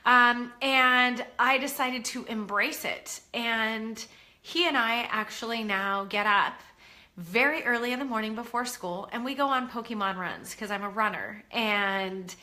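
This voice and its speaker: Woman, nasal from a head cold, bright voice, slightly high, chatty coach on a webcam. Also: unhurried. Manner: chatty and encouraging, many ums. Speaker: parenting coach hosting a live online class. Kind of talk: casual live-stream talk to viewers.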